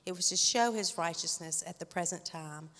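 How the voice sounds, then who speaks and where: A woman giving a humble church testimony and reading scripture aloud, quiet with fillers. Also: lowish voice, moderate pace. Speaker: church member sharing a reflection. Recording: echoey hall.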